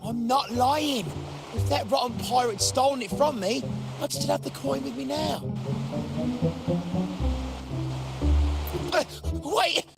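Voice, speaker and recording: An actor playing a caught petty thief in a video game, shifty, defiant and grumbling. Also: male voice, very high-pitched, moderate pace, creaky, over music. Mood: defensive. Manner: grumbling, whiny, protesting.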